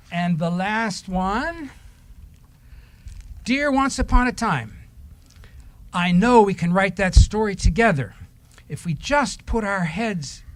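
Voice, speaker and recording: A man leading a children's time at church, reading aloud and chatting to the children in a playful way, with questions and simple instructions. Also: high voice.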